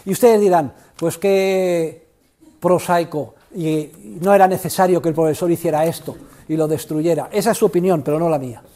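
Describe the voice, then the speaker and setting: An older man lecturing economics to a university class, theatrical and emphatic, swinging between loud outbursts and low asides. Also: steady tone, normal speed. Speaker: economics professor.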